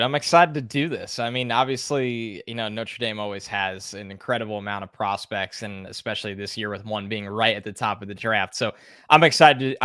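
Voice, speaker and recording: A man appearing as a guest analyst on a podcast, talking through his views in a relaxed, thoughtful way with casual fillers. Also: on the low side, dry recording, clean studio sound. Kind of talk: conversational podcast interview.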